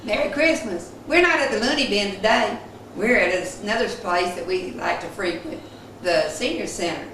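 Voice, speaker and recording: A woman's voice: medium pitch, normal speed, gravelly, fairly bright tone. A woman hosting a casual craft show at a senior center, chatty and joking. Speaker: host. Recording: echoey far-off room with background noise.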